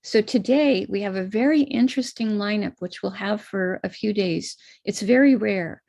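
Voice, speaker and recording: American woman with a gravelly, dry voice. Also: medium pitch, moderate pace, a little mellow, no echo. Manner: patient, explanatory, walks through a chart step by step. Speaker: astrologer leading a ritual. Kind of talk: live-streamed ritual instruction.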